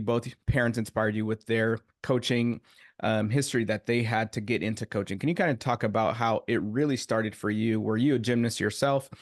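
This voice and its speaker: A man hosting a podcast interview in a casual manner, often saying "kinda". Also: fairly low pitch. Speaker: podcast host.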